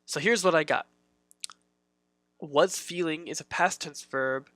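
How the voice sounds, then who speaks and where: A man's voice: fairly high pitch, a little slow, fairly bright tone, dry recording. A man teaching a beginner grammar lesson in a casual, patient way, repeating his points with many fillers.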